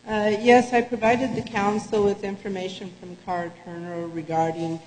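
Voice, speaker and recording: A woman city clerk giving a report at a council meeting, steady but full of "uh" fillers. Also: mid-range voice, normal speed. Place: council chamber. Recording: echoey hall, faint hum.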